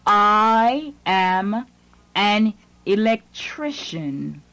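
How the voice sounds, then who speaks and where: A woman's voice: mid-range voice, big pitch swings, fairly bright tone, dry recording. A woman reading English lines in a scripted language lesson, phrase by phrase. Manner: slow, deliberate, phrase by phrase.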